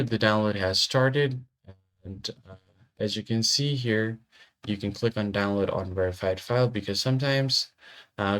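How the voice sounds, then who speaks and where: A man presenting a software tutorial, giving casual step-by-step instructions. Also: fairly low pitch. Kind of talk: step-by-step screen tutorial narration.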